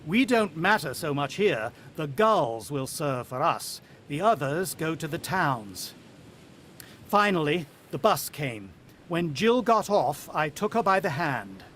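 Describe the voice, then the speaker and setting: A worried country father in a vintage radio drama. His voice sits high for a man and moves widely in pitch. He narrates with grave suspense and speaks to his family with anxious urgency. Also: big pitch swings, slow pace, a bit bright, slight background noise, full character voice. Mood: ominous, hushed.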